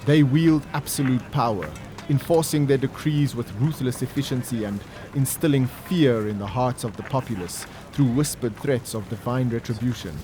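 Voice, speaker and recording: A man giving solemn, measured, scripted narration for a dramatic audio story. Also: mid-range voice. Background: music and ambience.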